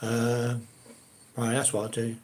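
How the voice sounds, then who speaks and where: A man's voice: mid-range voice, monotone, takes it slowly, darkish tone. An older man from South London with a Cockney-ish accent, chatting casually and hesitantly with viewers as the host of his own live stream. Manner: rambling, hesitant, many fillers and restarts.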